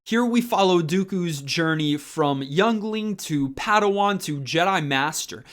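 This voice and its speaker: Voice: medium pitch, animated, measured pace. An informal, enthusiastic video host talking casually through a review and repeating words for emphasis. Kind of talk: casual chatty review vlog to camera.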